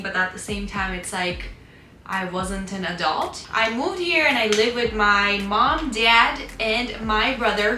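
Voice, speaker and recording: A woman's voice: medium pitch, sharp tone, echoey room, a little hiss or hum. A woman in her twenties from Iran with an Armenian accent, chatting casually to her vlog audience while doing her makeup, full of "like" fillers. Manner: chatty, frequent "like" fillers, candid. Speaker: vlogger. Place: at home, getting ready.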